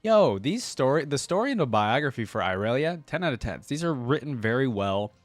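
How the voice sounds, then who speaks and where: A man's voice: medium pitch, very animated, fairly quick, dry recording. A man hosting a reaction video, talking casually in an informal, slangy, rambling way.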